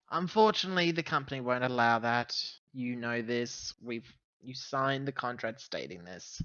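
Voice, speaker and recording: A man playing an earnest, conciliatory company officer on a spaceship, halting and placating, in a tabletop game. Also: mid-range voice, lively intonation, a bit bright, no echo, muffled recording. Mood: placating, weary.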